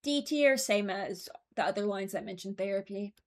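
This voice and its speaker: A woman's voice: mid-range voice, moderate pace. A woman hosting a video, giving casual, rambling commentary and hesitating as she thinks aloud. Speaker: video creator and host.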